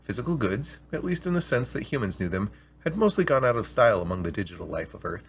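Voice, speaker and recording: A man with a slightly rough voice, hosting and reading scripted stories aloud in an expressive, deliberate way. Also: lowish voice, a little hiss or hum. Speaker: storyteller and show host. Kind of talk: scripted story reading with spoken intro.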